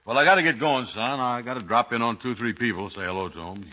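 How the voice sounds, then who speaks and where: An actor playing a slow, good-natured, unschooled man in 1940s radio comedy, with a folksy, ungrammatical drawl. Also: medium pitch, sharp tone, close to an everyday voice. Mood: cheerful. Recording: dull old radio recording.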